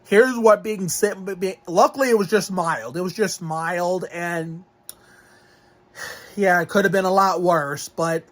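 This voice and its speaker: Young male vlogger, slightly high voice, just over a cold with a lingering cough, rambling and full of restarts. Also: unhurried. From Northeast Ohio. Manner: rambling, with frequent restarts and fillers. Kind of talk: rambling health update vlog.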